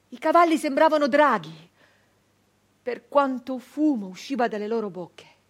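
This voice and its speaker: An actress playing a fierce, embittered nineteenth-century brigand woman in an intense, declamatory theatrical monologue with dramatic pauses. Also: a little high, slightly rough, full character voice. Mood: haunted, foreboding. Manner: intense, declamatory, with slow pauses and hushed drops. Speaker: stage actress.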